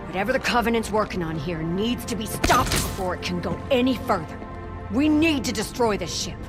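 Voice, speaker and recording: An actress playing a tough, defiant marine lieutenant with firm, cocky military talk in a cinematic game. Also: female voice, medium pitch, lively intonation, brisk, over music, natural voice. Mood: confident.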